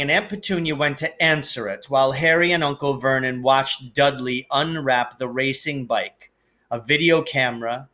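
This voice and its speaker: A man narrating a children's novel aloud in an expressive, deliberate way with emphatic phrasing. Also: mid-range voice. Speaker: teacher reading aloud.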